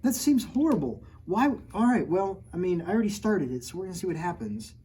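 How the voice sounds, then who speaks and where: A man's voice: high-pitched, big pitch swings, fairly quick, mellow, husky. A man reading his own picture book aloud to children at storytime, joking with them and putting on mock outrage at his own story. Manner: playful, jokey, mock-outraged, talking straight to children. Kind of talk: storytime read-aloud with playful asides to kids.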